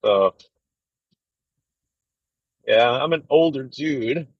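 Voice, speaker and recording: A man's voice: medium pitch, expressive, extremely slow, husky, no echo. Trucker in his thirties, casual chatty livestream, says "dude" a lot, dull dry audio. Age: 30s. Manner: laid-back, rambling, full of fillers and "dude". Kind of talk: casual livestream chat with viewers. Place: inside a truck cab.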